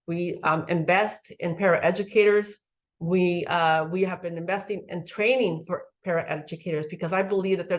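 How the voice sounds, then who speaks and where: A middle-aged woman speaks as a school superintendent in a webinar, her voice sitting a little low. She talks earnestly and often stops to restart a phrase, filling gaps with "um" and "uh". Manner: earnest, many fillers and restarts. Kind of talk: webinar presentation.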